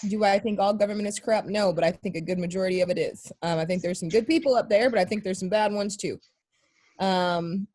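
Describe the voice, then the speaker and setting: A woman's voice: medium pitch, a little mellow. A woman talking casually on a discussion panel, thoughtful and hesitant with frequent fillers. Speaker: panel guest.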